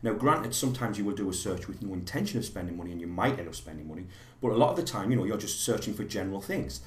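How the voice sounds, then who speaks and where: A man with a slightly hoarse voice from a cold, teaching a live online course in a rambling way with many fillers and restarts. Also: on the low side, animated, racing, reverberant.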